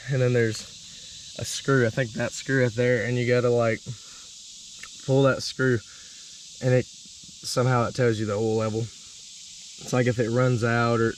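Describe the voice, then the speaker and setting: A man with a slight cold and a hoarse voice, casually rambling through a vlog walkthrough with plenty of uh and um. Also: medium pitch, darkish tone, slight background noise, poor audio. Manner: rambling, lots of uh and um. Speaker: dirt bike vlogger.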